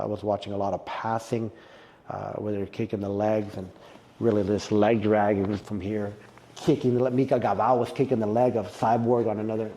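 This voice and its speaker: A middle-aged man with a mellow, gravelly voice, talking jiu-jitsu in a relaxed interview. He tells his stories with plenty of feeling and jumps up in pitch and volume when something excites him. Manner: animated, emotional storyteller who gets loud and high when excited. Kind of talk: casual podcast interview.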